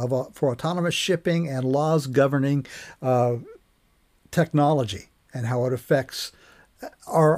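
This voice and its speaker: An older man speaking as a podcast host, introducing an interview in an informal, somewhat halting conversational way. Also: mid-range voice, darkish tone.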